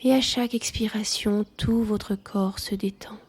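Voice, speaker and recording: A woman leading a guided yoga nidra relaxation, speaking softly in a calm, soothing manner. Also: medium pitch, unhurried, slight background noise.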